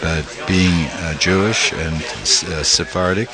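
An older man from Italy, a small press publisher, being interviewed and speaking haltingly with many fillers and restarts. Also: sits low, a crowd in the background. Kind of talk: interview conversation.

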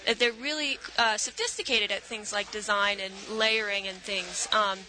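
A woman's voice: mid-range voice, fairly even intonation, moderate pace, bright, forceful tone, a little hiss or hum, low-quality recording. A woman in her thirties, an artist and professor, talking thoughtfully with many ums as a radio guest. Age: 30s.

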